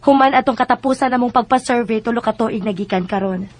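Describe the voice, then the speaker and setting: A Filipino actress with a Visayan accent playing a haughty, unyielding rich landowner from next door in a radio drama. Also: female voice, a little high, fast talker, close to an everyday voice. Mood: self-assured. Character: brazen, defiant well-off woman landowner from next door. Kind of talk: Bisaya radio drama acting.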